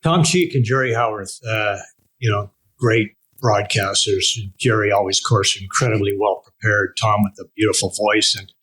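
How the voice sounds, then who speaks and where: Older male interview host, lower register, casual and halting conversational phrasing. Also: fairly bright tone, rough recording. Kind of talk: casual chat.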